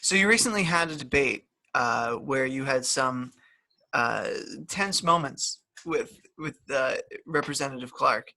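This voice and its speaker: A man hosting an interview, asking questions in a halting way with many fillers. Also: mid-range voice, animated, sharp tone. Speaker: interviewer, host. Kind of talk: remote interview.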